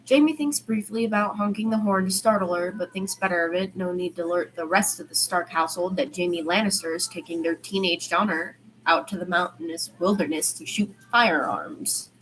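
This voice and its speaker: A woman's voice: lowish voice, fairly quick, hardly any pauses, a bit bright, a little hiss or hum, low-quality recording. A woman reading a story aloud in an informal way, stumbling over words now and then and correcting herself. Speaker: amateur fan fiction podfic reader.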